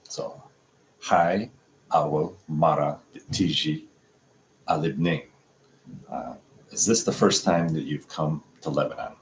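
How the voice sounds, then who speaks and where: A man in his fifties giving a casual, halting practice lesson, breaking phrases down word by word with many pauses and fillers. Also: extremely low pitch, slight background noise, rough recording. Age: 50s. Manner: slow, halting explanations with many fillers, breaks words down piece by piece. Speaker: language learner teaching from a textbook dialogue.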